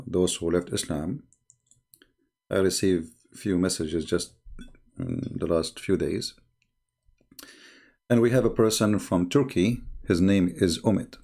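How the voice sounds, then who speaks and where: A man hosting a live broadcast in a casual, halting way, his voice strained and tired. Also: low voice, vocal fry, mellow. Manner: halting, reads viewer messages aloud slowly. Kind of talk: casual live-stream talk.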